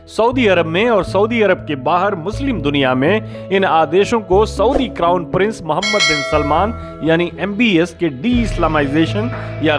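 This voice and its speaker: A man with a somewhat high voice narrates a news explainer from a script. His delivery is formal and measured, like a presenter setting out a report, with music playing behind him. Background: background music.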